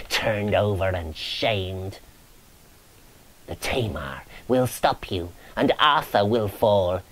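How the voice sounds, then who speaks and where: A man's voice: fairly low pitch, poor audio. A man voicing a grisly, woeful ghost who solemnly prophesies doom in a dramatic reading of medieval romance verse. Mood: grim, accusing. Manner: solemn, incantatory, moaning, preaching.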